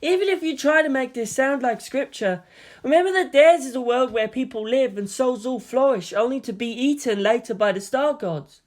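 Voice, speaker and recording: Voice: sits high, moderate pace, pitched above the performer's own voice, natural voice. A narrator voicing an earnest, geeky young male lieutenant who lectures emphatically. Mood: emphatic, lecturing.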